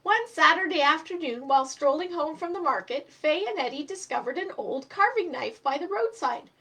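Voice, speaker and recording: Casting: female storytime host and reader, high voice, expressive delivery for young children. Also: normal speed. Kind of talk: live children's storytime reading.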